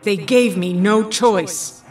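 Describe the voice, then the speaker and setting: A woman's voice: mid-range voice, takes it slowly, a little mellow, lightly acted. A woman playing a proud, bitter, defiant villainess and mother in a superhero audio drama. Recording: slightly distant, faint music.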